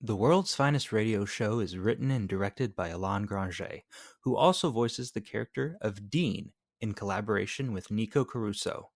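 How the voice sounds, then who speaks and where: A man's voice: on the low side, normal speed. A man reading scripted credits and disclaimers as an announcer. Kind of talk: scripted narration. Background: music.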